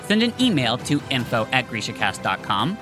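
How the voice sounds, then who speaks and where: A woman's voice: extremely low pitch, very animated, normal speed, music in the background. A woman co-hosting a fan podcast in a chatty, effusive, informal way. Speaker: podcast co-host. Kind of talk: casual fan podcast chat.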